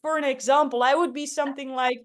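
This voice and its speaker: A woman's voice: high voice, steady tone, fast talker. A woman facilitating an interactive workshop in an encouraging, reassuring way.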